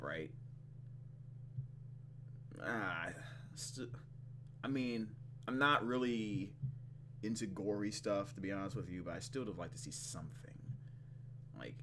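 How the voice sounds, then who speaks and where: Expressive low-pitched man, swinging lively while gaming. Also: slight background noise. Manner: casual, expressive, swearing. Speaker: let's play host. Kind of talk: gaming commentary, reading visual novel text aloud.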